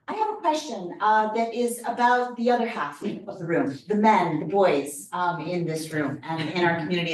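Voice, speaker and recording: Friendly mom asking question, echoey hall. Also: female voice, on the low side, far from the mic, slight background noise. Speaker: audience member asking a question. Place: community center hall.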